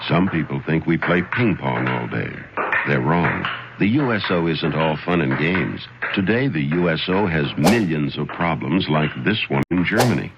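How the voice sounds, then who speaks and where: A man's voice: very deep voice, animated, moderate pace. A man reading a public service announcement in an earnest, direct way. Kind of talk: scripted ad read. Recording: dull old radio broadcast with noise.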